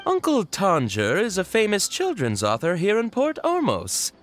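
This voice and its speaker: A man's voice: high-pitched, huge pitch swings, normal speed, slight background noise. A man voicing a flustered, pleading toy shop worker in an anime video game, speaking hesitantly. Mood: explaining.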